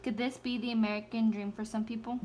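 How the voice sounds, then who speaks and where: A teenage student reads her interview questions aloud in a careful, read-out way. Her voice is fairly high, with faint ambience behind her. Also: little pitch movement, fast, mellow, highs cut off, slight background noise.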